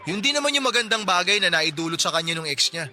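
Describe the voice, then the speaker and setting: A helpful, talkative neighbor in his twenties for a radio drama. His voice sits high and rings very bright. He teases easily, and soft music plays underneath. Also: lively intonation, fast talker, heavily acted. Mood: friendly chatty. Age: 20s. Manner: chatty, joking, helpful. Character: friendly chatty young working-class neighbor man. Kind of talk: Tagalog radio drama acting.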